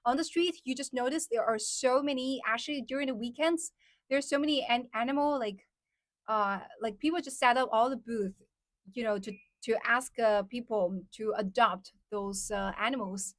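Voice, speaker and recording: Chatty woman streamer, fairly high. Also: moderate pace, a bit bright, no echo, clean studio sound. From mainland China. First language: Mandarin. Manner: chatty, laughs often, answers chat comments. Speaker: live stream host. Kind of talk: casual live stream chat with viewers.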